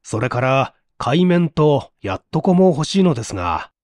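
Fretful, animated male assistant, acted by a narrator. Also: medium pitch, moderate pace, no room sound, dull audio, close to an everyday voice. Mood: polite. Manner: polite, restless, questioning.

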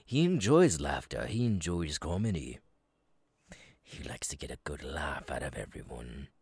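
A male voice actor playing a menacing, giggling, unhinged killer clown monster in a horror ASMR roleplay, raspy and gravelly, sliding between breathy whispers and cackles. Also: extremely low pitch, big pitch swings, normal speed, a little mellow, no room sound, heavily acted. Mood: playful, sly.

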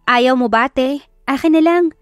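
Woman playing a sassy, high-pitched campy Filipino best friend. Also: a little slow, clean tone, no room sound, clean studio sound. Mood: joking. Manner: exaggerated, campy banter. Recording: background music under the voice.

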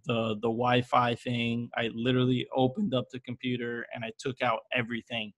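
A man's voice: mid-range voice, completely flat delivery, moderate pace, fairly bright tone. Young guy doing a podcast interview, super informal, slangy, close mic. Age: young adult. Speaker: podcast host. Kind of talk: casual interview chat. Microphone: close desktop microphone with headset.

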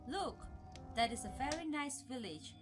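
A woman playing a wary, clever young village girl in an animated folktale. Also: sits high, normal speed, over music. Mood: sly. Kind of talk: animated folktale character acting.

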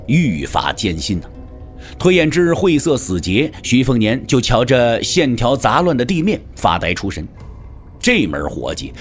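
A man giving a dramatic scripted narration of a martial arts story. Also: fairly high pitch.

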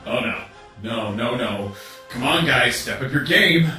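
A man's voice: mid-range voice, lively intonation, measured pace, pushed and bright. A man voicing a secretive, fretful hidden observer muttering to himself in a comic dub. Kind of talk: amateur comic dub voice acting. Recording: roomy, distant, music behind.

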